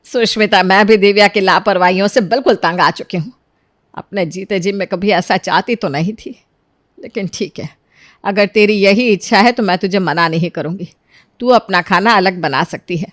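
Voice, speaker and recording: A woman's voice: medium pitch, normal speed, a bit bright, no room sound, clean studio sound, lightly acted. A woman voicing a weary, scolding older mother-in-law in a family story, exasperated and lamenting. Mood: weary, fed up.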